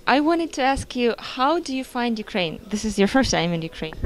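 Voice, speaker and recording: A woman's voice: fairly high pitch, big pitch swings, moderate pace. A woman interviewer politely putting questions to a conference speaker.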